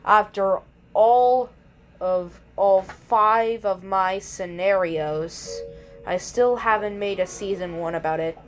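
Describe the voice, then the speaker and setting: A woman's voice: medium pitch, takes it slowly, faint music behind. A woman hosting her own video, talking casually to viewers in a rambling, hesitant way and often correcting herself. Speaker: video creator and host. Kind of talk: casual intro and commentary to viewers.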